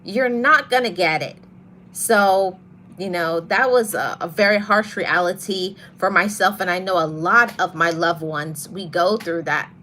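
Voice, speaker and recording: A woman hosting a motivational podcast, talking to her audience in a soft voice and repeating her key phrases for emphasis. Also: fairly low pitch, a little slow, a little hiss or hum, low-quality recording. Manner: greets live viewers mid-talk. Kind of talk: live-streamed motivational talk.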